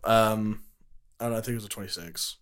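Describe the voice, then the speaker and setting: A man's voice: on the low side, fast, crowd noise. A teenage young man co-hosting a podcast and chatting casually with friends. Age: teens. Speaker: podcast co-host. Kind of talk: casual banter among friends.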